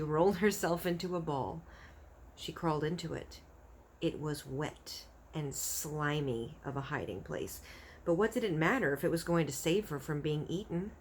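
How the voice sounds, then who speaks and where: A woman narrating a children's story aloud in an expressive read-aloud style. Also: lowish voice, a little hiss or hum. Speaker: storybook reader.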